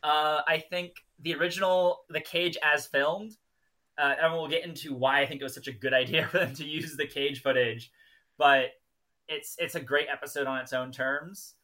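A man's voice: a little high, steady tone, normal speed, pushed and bright. A man co-hosting a podcast, recapping and chatting casually with wry asides. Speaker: podcast co-host. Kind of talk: casual chat and episode recap.

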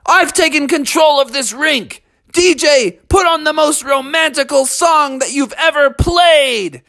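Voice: medium pitch, expressive, measured pace, fairly bright tone, dry recording, studio quality, pitched above the performer's own voice. A storyteller voicing an earnest, clumsy young male frog who stammers, pleads and gets frantic. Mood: grandstanding.

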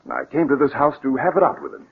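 An old, narrow-band radio recording carries a guilty man hiding behind a worried front. His voice is very husky and swings widely in pitch. He hesitates and stammers through his lines in melodramatic 1940s style. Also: huge pitch swings, fast talker, full character voice. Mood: anxious, feigned worry. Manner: hesitant, stammering, repeats words. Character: nervous scheming murderer posing as worried brother. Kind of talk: 1940s radio drama acting. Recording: muffled, scratchy 1940s radio broadcast.

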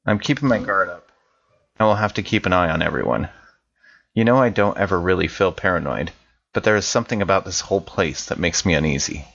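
A man playing a wary, chummy guy in his thirties, speaking a scripted personal message in an informal, measured way. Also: sits low, lively intonation, vocal fry, fairly bright tone, lo-fi sound, heavily acted. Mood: uneasy, guarded. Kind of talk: scripted in-character monologue, conversational.

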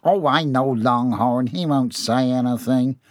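A man's voice: mid-range voice, measured pace, dry recording, studio quality, close to an everyday voice. A man voicing a hapless, whining employee in an audiobook, confused and hesitant, feigning innocence. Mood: sheepish, defensive. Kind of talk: dramatised audiobook dialogue.

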